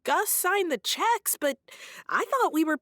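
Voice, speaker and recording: A woman's voice: extremely high pitch, expressive, measured pace, pushed and bright, dry recording, clean studio sound, exaggerated voice. An actress playing an earnest, naive woman reporter in an audio drama, hesitant and incredulous in manner. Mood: disbelieving. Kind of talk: naturalistic audio drama dialogue.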